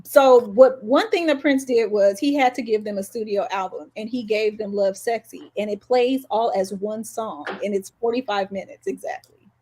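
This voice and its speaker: A woman's voice: mid-range voice, normal speed, darkish tone, slight background noise. A woman co-hosting a podcast, talking casually about music in a conversational way. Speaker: podcast co-host.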